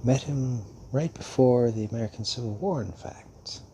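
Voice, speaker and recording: An actor playing a centuries-old, fatherly male vampire in an intimate audio roleplay, speaking haltingly and musingly with hums and long pauses. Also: medium pitch, mellow, slight background noise, close to an everyday voice. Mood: fond, reflective.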